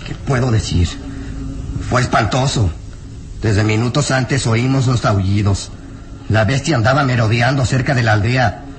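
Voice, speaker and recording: An actor playing a burly, frightened and bitter peasant villager in a melodramatic radio drama, anguished, emphatic and halting. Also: male voice, medium pitch, natural voice. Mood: horrified, agitated.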